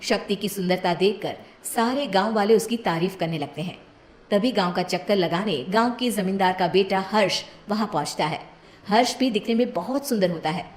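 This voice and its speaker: A woman's voice: medium pitch, normal speed, echoey room. A woman reading a scripted cartoon moral story as its narrator.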